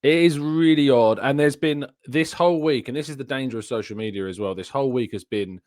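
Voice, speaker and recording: A man's voice: medium pitch, brisk, darkish tone, no room sound. Young man, podcast host, chatty, self-deprecating, desk mic at home, dry sound. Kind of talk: casual football podcast chat. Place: home room, video call. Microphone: studio mic on desk with headphones.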